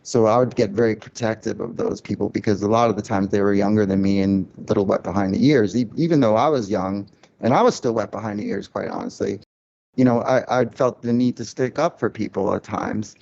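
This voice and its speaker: A man with a low voice is guest on a casual podcast, talking frankly and reflectively about his label years. He circles back on himself, with plenty of restarts. The audio sounds muffled. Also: fairly even intonation, brisk, gravelly, darkish tone. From Cuban family, grew up in a loud-talking city. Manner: candid, reflective, many restarts and "like" fillers. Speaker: record label founder.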